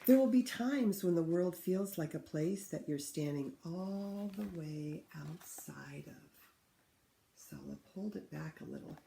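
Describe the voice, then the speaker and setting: A woman reading a picture book aloud to children in an expressive, teacherly way, pausing to ask them questions. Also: on the low side, gravelly, slight background noise. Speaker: read-aloud host for children. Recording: roomy, echoing room sound.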